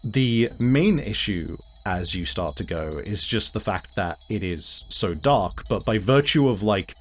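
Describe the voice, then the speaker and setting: A man improvising narration as game master of a tabletop game, in a halting, conversational way. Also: lowish voice, expressive. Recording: music behind the voice. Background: music.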